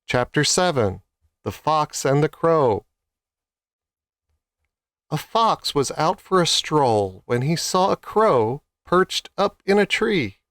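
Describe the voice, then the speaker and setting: A man's voice: on the high side, very animated, slow pace, fairly bright tone, dry recording, studio quality. A man reading scripted children's stories as a storyteller.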